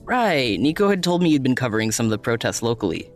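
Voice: mid-range voice, very animated, fast, soft background music, lightly acted. An actor playing an earnest, eager young would-be reporter, polite and tentative, in a fiction podcast.

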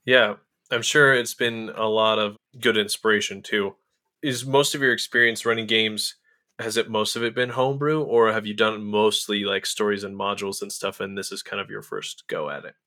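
A man's voice: fairly low pitch, steady tone, moderate pace, sharp tone, dry recording, clean studio sound. A man hosting a casual interview, asking friendly, chatty questions. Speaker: podcast host.

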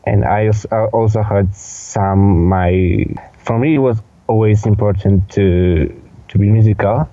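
An eighteen-year-old man with a Polish accent, giving casual interview answers in a hesitant, halting way with repeated words. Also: fairly low pitch, dark tone, no echo, dull audio. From Poland. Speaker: podcast guest, dance instructor.

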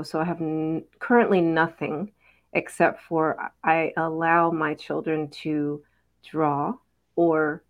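A middle-aged woman, a mother, talking things through in a reflective, casual conversation, pausing often with fillers. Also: low voice. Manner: thoughtful, unhurried, many fillers and pauses. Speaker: mother on a parenting talk panel.

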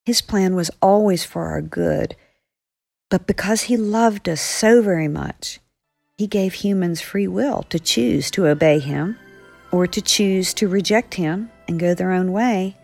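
A woman's voice: fairly low pitch, measured pace, gravelly, a little mellow, no room sound, soft background music. A woman author speaking as a guest, explaining earnestly to young listeners.

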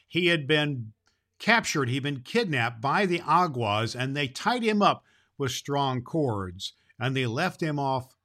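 A man's voice: medium pitch, a bit bright. A man reading a storybook aloud to children in the role of Santa Claus, with a dramatic, emphatic delivery.

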